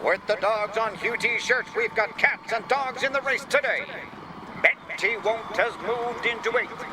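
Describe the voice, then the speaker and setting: A man voicing an excitable sports commentator calling a storybook race in a punning, play-by-play style. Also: high voice, measured pace, background noise, voice pushed up. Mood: brisk, excited. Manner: punning, building play-by-play delivery.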